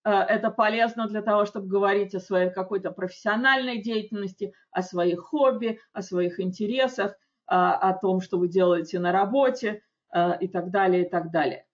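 A woman teaching an English grammar lesson, explaining rules in a chatty way with frequent checks and slow, careful example sentences. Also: medium pitch. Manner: reads English examples slowly and distinctly. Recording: dull lo-fi audio.

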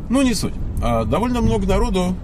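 A man talks outdoors while loud street traffic roars behind him. He rambles through his points with constant fillers, false starts and self-corrections. His tone is blunt, with a dry, mildly sarcastic edge. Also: high-pitched, very animated, fairly quick. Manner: rambling, many fillers and restarts. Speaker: employer explaining job requirements. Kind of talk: casual spoken monologue to followers.

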